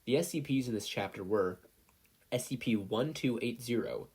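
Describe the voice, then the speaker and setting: A man's voice: mid-range voice, expressive, moderate pace, darkish tone, slightly rough. A man reading a scripted story aloud as a fiction narrator. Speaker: podfic narrator.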